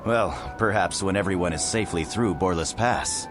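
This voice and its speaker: A man's voice: low-pitched, normal speed, lightly acted. A man playing a loyal, dependable human hero, speaking in a plain, composed way in a video game cutscene. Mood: calm, dutiful. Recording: loud music behind. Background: loud music.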